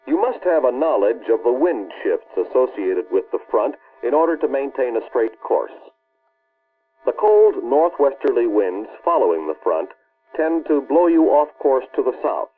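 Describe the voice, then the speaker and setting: An American man reads scripted instructional narration for an early 1960s military film in a formal, authoritative, measured way. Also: medium pitch, slightly rough. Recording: muffled, band-limited old film soundtrack.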